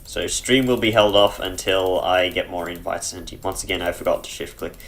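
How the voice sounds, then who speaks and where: A man giving casual, rambling commentary over a dungeon game on a headset mic, chesty and clearing his throat, with dry humor. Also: low-pitched, fairly even intonation, normal speed, fairly bright tone. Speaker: let's play gamer.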